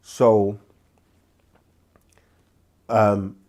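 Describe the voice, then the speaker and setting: A man hosting a live question-and-answer stream, speaking with a sore throat and a congested voice, deliberate and full of restarts. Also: on the low side, flat delivery, darkish tone. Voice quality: slow, deliberate delivery with frequent restarts. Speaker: host answering viewer questions. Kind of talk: livestream Q&A teaching.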